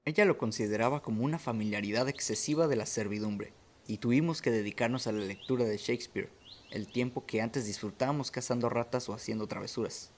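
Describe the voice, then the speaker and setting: A man's voice: mid-range voice, brisk. A man reading scripted first-person story narration as the narrator of a radio drama.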